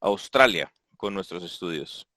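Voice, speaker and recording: A man interpreting a medical lecture, talking over the speaker in quick overlapping bursts on a video call. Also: sits low, expressive, sharp tone, no room sound, studio quality. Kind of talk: consecutive interpreting.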